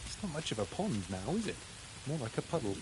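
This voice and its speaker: A formal, deliberate detective pig played by a man, musing politely over his case in a video game. His mid-range voice swings expressively, with clearly audible noise and ambience behind it. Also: medium pitch, sing-song, natural voice. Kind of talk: scripted video game character acting.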